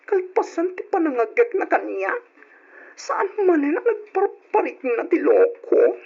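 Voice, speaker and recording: A woman's voice: sits high, big pitch swings, a little slow, creaky, heavily acted. A woman playing a dramatic, heartbroken young woman in an old radio drama, with an Ilocano accent and a whiny delivery. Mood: lovesick. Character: dramatic heartbroken young woman, stubborn about quitting school. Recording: dull old radio recording.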